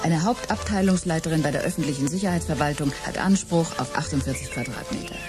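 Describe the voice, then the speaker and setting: A woman's voice: low-pitched, quick pace, natural voice. An actress playing a detective's strong-willed, exasperated girlfriend in a German radio drama, insistent and reproachful. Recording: music behind the voice.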